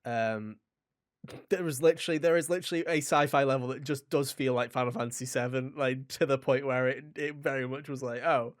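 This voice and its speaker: A man co-hosting a gaming podcast, chatting casually and enthusiastically in an animated, rambling way. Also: medium pitch, a little fast, dry recording, studio quality. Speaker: podcast co-host reviewing a video game.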